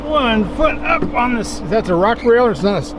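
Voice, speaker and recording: A man's voice: very high voice, animated, moderate pace. A man vlogging from the passenger seat of a truck on a bumpy outdoor course, exclaiming and gasping as it jolts around. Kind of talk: excited ride-along commentary. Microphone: handheld camera mic. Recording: loud engine and outdoor noise.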